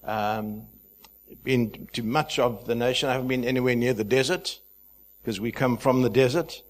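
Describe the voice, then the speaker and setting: A man's voice: mid-range voice, normal speed. Hesitant man pastor from Zimbabwe. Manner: chatty, hesitant, with many fillers and restarts. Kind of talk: sermon introduction.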